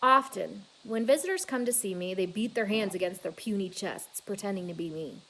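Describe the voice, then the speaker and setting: A woman reading a children's novel aloud in an expressive, dramatic storytelling manner. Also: medium pitch, brisk, low-quality recording. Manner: dramatic, with emphatic exclamations and hushed asides.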